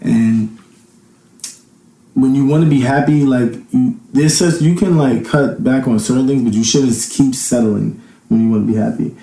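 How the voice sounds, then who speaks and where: Mellow man in his thirties, echoey room. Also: mid-range voice, moderate pace, creaky. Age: 30s. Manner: rambling, thinking aloud. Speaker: interview guest. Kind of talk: casual chat.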